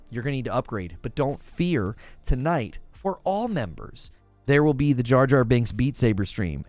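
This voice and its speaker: A man's voice: medium pitch, no room sound. A man hosting a casual gaming news podcast, talkative and loose, repeating words as he thinks aloud. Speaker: podcast host.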